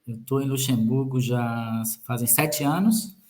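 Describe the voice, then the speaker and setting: A man's voice: medium pitch, measured pace, darkish tone. Joking Brazilian male guest, full of sayings. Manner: joking, fond of metaphors and sayings. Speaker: guest, sales manager. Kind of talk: conversational interview.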